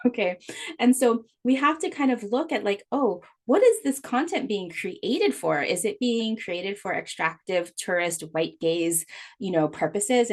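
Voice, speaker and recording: Earnest, chatty woman podcaster from Hawaii. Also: on the low side. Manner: conversational, many fillers and restarts, earnest; laughs often. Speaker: podcast host. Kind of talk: casual solo podcast monologue.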